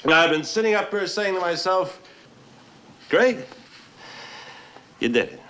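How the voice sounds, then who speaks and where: A man's voice: sits high, measured pace, full character voice. An actor playing a self-mocking, restless writer who savors his words theatrically in a film drama. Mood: rueful. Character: self-mocking, restless male writer, drunkenly celebrating alone. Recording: steady background noise.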